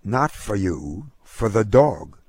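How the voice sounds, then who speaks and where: A man's voice: on the low side, big pitch swings, takes it slowly, darkish tone, breathy edge, no room sound, studio quality, full character voice. Man acting a haughty, officious male official. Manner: formal, disdainful, commanding.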